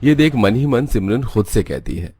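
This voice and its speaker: A man's voice: medium pitch, animated, normal speed, mellow, a little hiss or hum. A man reading scripted narration for a cartoon story as its storyteller. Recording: music behind the voice.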